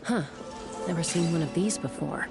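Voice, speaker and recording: An actress playing a determined, composed young female adventurer in a video game, brisk and decisive. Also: fairly low pitch, vocal fry, over music. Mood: focused.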